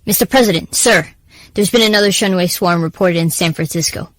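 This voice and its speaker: A woman playing a serious, formal presidential aide delivering a measured, deferential briefing in an audio drama. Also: on the low side, a little hiss or hum, lightly acted, low-quality recording. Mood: urgent, grave.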